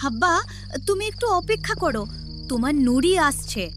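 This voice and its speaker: A woman's voice: sits high, very animated, moderate pace. A woman playing a devoted, brave teenage village girl in a cartoon story, with earnest, emotional delivery. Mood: anxious, determined. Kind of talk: melodramatic cartoon story acting. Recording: loud music behind the voice.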